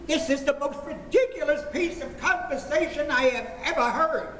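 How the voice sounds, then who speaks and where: A man's voice: very high voice, normal speed, fairly bright tone. A man acting a stern, sarcastic, easily flustered middle-aged father in a 1940s-style radio comedy. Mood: stern, exasperated. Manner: emphatic, exasperated, dryly sarcastic. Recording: echoey, noisy filmed stage performance.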